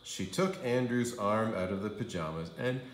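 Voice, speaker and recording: A man reading a children's story aloud as a kindergarten teacher, with expressive, drawn-out emphasis on key phrases. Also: on the low side, roomy sound, rough recording. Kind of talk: animated storybook narration for young children.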